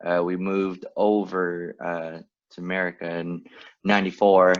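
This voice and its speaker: A man in his late twenties, born in Vietnam and raised in California, co-hosting a podcast in casual chat, laid-back and quick to laugh. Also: deep voice, flat delivery, slight background noise. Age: late 20s. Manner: laid-back, laughs often, lots of fillers. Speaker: podcast co-host. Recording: dull lo-fi sound.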